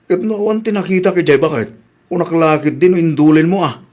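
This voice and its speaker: A man's voice: a little high, fairly quick, darkish tone, natural voice. A man acting an easygoing husband who questions his wife in an Ilocano radio comedy drama. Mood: questioning.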